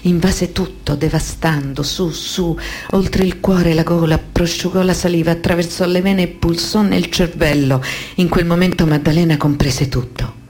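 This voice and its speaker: An actress playing an anguished woman who survived abuse, in an intense, deliberate radio-drama monologue. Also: on the low side, fairly even intonation, a little mellow, slight background noise, close to an everyday voice. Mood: haunted, tense.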